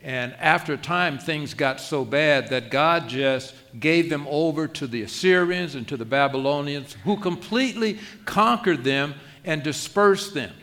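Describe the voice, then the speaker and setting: A man's voice: fairly high pitch, a little slow, few pauses, sharp tone. A man preaching a sermon as pastor, conversational and self-deprecating, often asking the congregation rhetorical questions.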